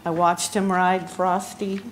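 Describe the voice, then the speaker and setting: A woman giving a memorial tribute at a gathering, speaking haltingly with frequent pauses and ums. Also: lowish voice, flat delivery, slight background noise, low-quality recording. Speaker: eulogy speaker. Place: outdoor barn gathering.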